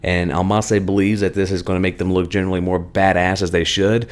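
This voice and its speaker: A man hosting a weekly game news update in a chatty, conversational way, his voice slightly hoarse. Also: deep voice, level tone, fairly quick. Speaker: gaming channel host.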